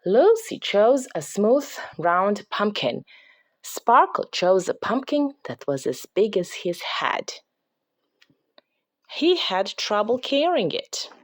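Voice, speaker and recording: Expressive, slow-paced woman reading a storybook. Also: mid-range voice, no room sound, studio quality. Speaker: storybook reader. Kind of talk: expressive children's read-aloud narration.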